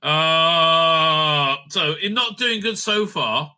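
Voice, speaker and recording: A man's voice: on the high side, lively intonation, drawn-out words, sharp tone, clear, resonant tone. A man hosting a casual, off-the-cuff review commentary, blunt, opinionated and sweary, rambling and repeating words as he goes. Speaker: host reviewing audio drama releases.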